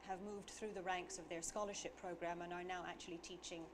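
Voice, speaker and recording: A woman, a talk show guest, explaining a community dance group warmly. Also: on the low side, little pitch movement, a little fast, noisy background. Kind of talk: studio talk show interview.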